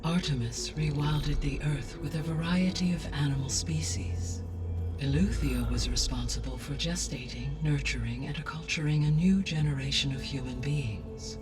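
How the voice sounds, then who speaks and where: Male actor as video game artificial intelligence, man's voice, calm, grave, exacting diction, a little off-mic, prominent musical backing. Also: fairly high pitch, far from the mic, music in the background, natural voice. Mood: solemn, informative. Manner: formal, precise, measured, deliberate diction. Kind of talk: cinematic video game acting, exposition.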